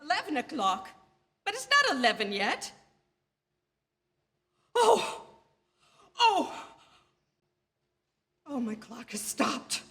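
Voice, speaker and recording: Casting: neurotic, imperious invalid wife for suspense radio play; high, sharp, pleading voice, near hysteria. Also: female voice, wildly swooping pitch, slow pace, pauses often, exaggerated voice. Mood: agitated, demanding. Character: anxious, demanding, self-pitying invalid wife spiraling into hysteria. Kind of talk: theatrical radio drama acting.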